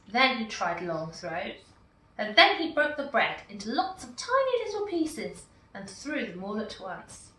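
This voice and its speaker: Woman reading a picture book aloud, slow and animated, bright voice, echoey room far from mic. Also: fairly high pitch. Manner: slow, expressive, warmly animated. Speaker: storyteller.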